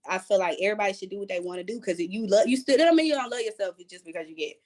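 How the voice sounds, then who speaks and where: A woman's voice: mid-range voice, racing, a little mellow. Candid, slangy woman on a panel. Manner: candid, joking, colloquial. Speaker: panelist, performer.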